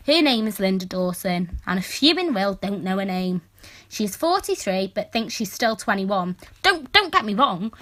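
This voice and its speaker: A woman's voice: a little high, bright, forceful tone, exaggerated voice. A woman voicing an exasperated, sardonic sixteen-year-old girl in an audiobook, telling her story in a wry, emphatic, complaining way. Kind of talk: first-person audiobook narration.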